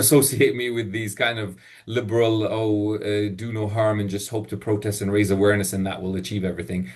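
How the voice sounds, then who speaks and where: A man from Palestine speaking off the cuff as a panelist in an online discussion, halting and repeating words as he argues emphatically. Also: fairly low pitch. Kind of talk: impromptu webinar discussion. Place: online video call.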